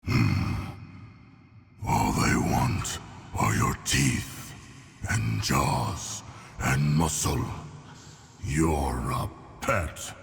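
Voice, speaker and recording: A menacing sea-creature villain from a video game, extremely deep and full of gravel. He declaims with regal scorn, solemn and accusing. A long, cavernous reverb trails the voice. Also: heavily acted. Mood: scornful, menacing. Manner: slow, solemn, accusatory oratory. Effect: deep reverberant voice effect.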